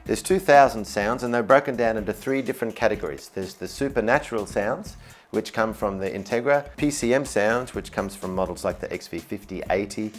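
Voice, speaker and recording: A man's voice: lowish voice, brisk. A man presenting a product demonstration in an upbeat, enthusiastic way. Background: music, demonstrated keyboard sounds.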